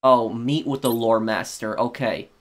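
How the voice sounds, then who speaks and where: Man giving hesitant gameplay commentary. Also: medium pitch. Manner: hesitant, halting, repeating words. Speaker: gaming video host.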